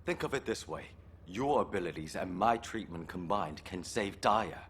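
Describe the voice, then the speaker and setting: A man's voice: deep voice, expressive, normal speed, a bit bright. A man playing an earnest, hopeful scientist in a video game, explaining technical matters persuasively. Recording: game audio with faint noise behind.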